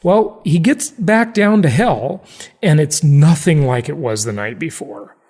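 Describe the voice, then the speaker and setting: A man's voice: fairly high pitch, animated, a little slow, a little mellow, breathy edge, in a large hall. An American man hosting a podcast in a conversational, salesy way, repeating phrases for emphasis. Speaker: podcast host, real estate investing.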